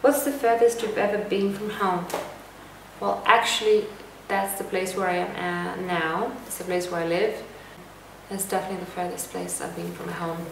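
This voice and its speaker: A young woman from Lithuania vlogging a casual to-camera Q&A at home, calm and reflective as she reads out each question and answers it. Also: fairly low pitch, moderate pace, creaky, background noise. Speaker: lifestyle vlogger. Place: indoor room at home. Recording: echoey room, voice a bit distant.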